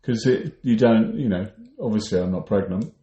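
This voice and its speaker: A man with a low, mellow voice chats casually on a podcast. His delivery is dry and understated, and the sound is echoey and roomy. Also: moderate pace. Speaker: podcast co-host. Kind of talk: casual chat.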